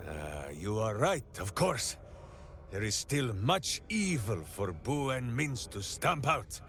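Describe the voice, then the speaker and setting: An actor playing a hearty, simple-minded heroic warrior in a video game, speaking with theatrical, earnest grandeur. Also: male voice, medium pitch, sing-song, slow pace, a bit bright, a little hiss or hum, full character voice. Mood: relieved, tender. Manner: grandiose, earnest third-person speech. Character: hearty, devoted, simple-minded heroic warrior man with beloved pet hamster. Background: game music.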